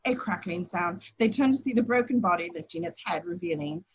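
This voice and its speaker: A woman's voice: on the low side, a little fast, slightly rough, muffled recording. A woman reading out scripted action descriptions as narrator at an amateur table read.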